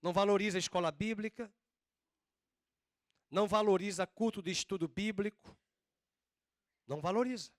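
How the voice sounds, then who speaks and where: A man preaching a sermon as pastor, with a drawn-out Northeastern Brazilian accent and slow, emphatic stresses. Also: high-pitched, dry recording, clean studio sound. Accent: Northeastern Brazilian Portuguese.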